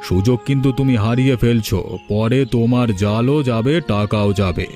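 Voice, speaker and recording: A man's voice: medium pitch, moderate pace, mellow, lightly acted. Cartoon villager role, male actor: greedy rich schemer, insistent and blustering, slightly muted delivery over faint music. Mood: pressuring. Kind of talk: Bengali cartoon story voice acting.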